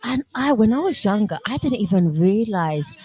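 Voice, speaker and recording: A woman hosting a radio interview, chatty and given to repeating herself and quick asides. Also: mid-range voice, very animated, dark tone, slightly rough, faint music behind. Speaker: radio host.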